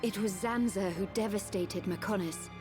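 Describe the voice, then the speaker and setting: An actress performs a woman of an ancient machine people with grave, formal poise. Her pitch sits mid-range and her delivery is measured and explanatory, like a video game cutscene, with prominent music underneath. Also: lightly acted. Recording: loud music behind the voice. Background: orchestral game music.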